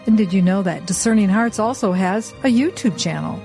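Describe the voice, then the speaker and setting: A woman announcer reading a scripted podcast promotion in a clear, upbeat way. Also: mid-range voice, a little fast, dark tone. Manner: clear, upbeat, listing names steadily. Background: faint music.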